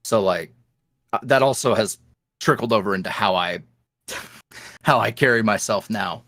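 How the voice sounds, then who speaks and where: A man's voice: a little slow, dry recording, studio quality. A young man, an ASMR roleplay creator, chatting candidly as a guest in an interview. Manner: candid, animated, jumps up in pitch when quoting others. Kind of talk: casual interview chat.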